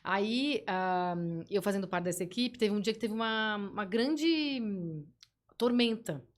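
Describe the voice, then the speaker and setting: A woman guest on a podcast, telling work stories in a casual, lively way with frequent restarts. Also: mid-range voice, a little slow. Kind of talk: casual storytelling.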